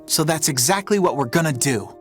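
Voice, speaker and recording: A woman's voice: sits low, lively intonation, a little fast, soft background music. A woman voicing a brash, determined teenage boy hero in a video game, blunt and casual in manner. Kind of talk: animated video game voice acting.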